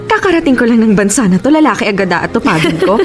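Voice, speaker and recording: An actress playing a modest, joking young newcomer in a radio drama, playful and self-deprecating. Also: female voice, on the high side, big pitch swings, fast. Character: modest, joking young woman newly working abroad. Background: faint music.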